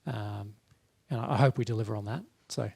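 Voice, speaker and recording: A man with a mid-range voice and a gravelly creak speaks as a guest on a panel. His delivery is casual and matter-of-fact, laying out practical explanations. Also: lowish voice, brisk, warm tone. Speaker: panelist, eCommerce marketer. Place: live event in front of an audience.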